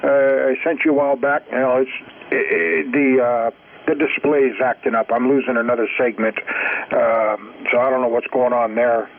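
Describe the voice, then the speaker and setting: A man's voice: mid-range voice, fairly even intonation, normal speed, vocal fry. Man chatting over a tinny radio link. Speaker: amateur radio operator. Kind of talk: casual radio chat. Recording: tinny narrowband radio transmission, faint noise.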